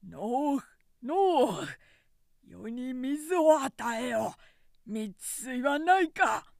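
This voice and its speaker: A woman reader performs a proud warlord brought to ruin in a storybook tale. He is imperious and desperate, crying out with wide swings of pitch in a dry, close recording. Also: lively intonation, very slow, gravelly, no echo, full character voice. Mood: loud, demanding. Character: proud desperate male warlord, fallen self-styled emperor, dying.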